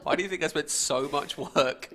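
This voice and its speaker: A man co-hosting a live quiz, teasing the host and trading quick jokes. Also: fairly high pitch, sharp tone. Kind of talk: banter with co-host.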